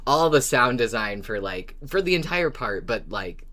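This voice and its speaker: Deep-voiced woman, enthusiastic podcast host. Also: very animated, normal speed, a bit bright. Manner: enthusiastic, emphatic, swears casually. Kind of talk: casual fan discussion of a fiction podcast.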